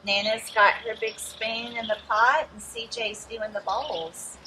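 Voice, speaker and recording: A woman's voice: a little high, noisy background. A woman hosting a read-aloud, expressively reading a picture book to young listeners. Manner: expressive storytelling, addresses young listeners, pauses for picture asides. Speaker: children's read-aloud host.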